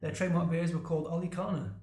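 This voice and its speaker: Fast-talking man hosting a beer podcast. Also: on the high side, steady tone, darkish tone. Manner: chatty, reads out facts, jokey. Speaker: podcast host. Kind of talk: casual chat. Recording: echoey room.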